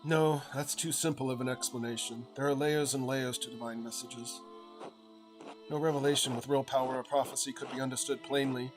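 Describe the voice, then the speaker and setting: A man's voice: mid-range voice, steady tone, a little fast, over music, close to an everyday voice, low-quality recording. A man voicing a weary, troubled master artist in a story game, with an earnest, grave delivery. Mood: grave, pensive.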